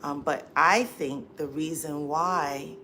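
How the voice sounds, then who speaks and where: A woman's voice: deep voice, fairly even intonation, slow, pushed and bright, slight background noise. A woman hosting a live stream in casual chat, greeting viewers with many ums, stuffy from allergies and with a dry throat. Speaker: livestream host and coach.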